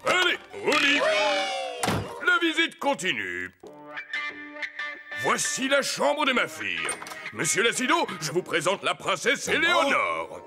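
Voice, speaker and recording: A man playing a blustery, excitable, scheming king in a children's cartoon, theatrical and full of grumbling exclamations. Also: high voice, a little slow, pauses often, exaggerated voice. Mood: brisk, bossy. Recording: music behind the voice.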